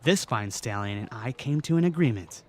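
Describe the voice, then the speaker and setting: A young knight, voiced by a man, speaks in a fantasy audio drama. His voice sits at a middling pitch. He is easy and quietly proud, teasing yet gentle and devoted. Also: expressive, normal speed, lightly acted. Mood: proud, amused.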